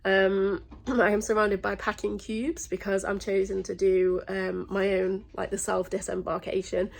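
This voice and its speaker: A woman travel vlogger chatting casually and in a rambling way, sounding croaky and stuffed up with a sore throat. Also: medium pitch, flat delivery, measured pace. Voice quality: slightly croaky, congested. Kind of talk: casual vlog chat.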